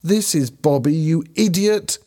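A man's voice: medium pitch, very animated, moderate pace, mellow, pitched above the performer's own voice. A man voicing an angry, defensive teenage schoolgirl in a story, indignant and exclaiming. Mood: furious.

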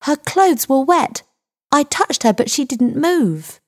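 A woman's voice: on the low side, lively intonation, moderate pace, close to an everyday voice. A woman voicing an indignant sixteen-year-old girl in a story, firm, impatient and emphatic. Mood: exasperated, shouting. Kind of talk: audiobook character dialogue.

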